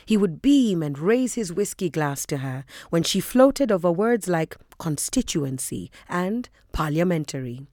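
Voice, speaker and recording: A woman narrates a novel from a script. Her voice is a little low, and the sound is very dry with no room echo. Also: big pitch swings, a little slow, hardly any pauses, darkish tone.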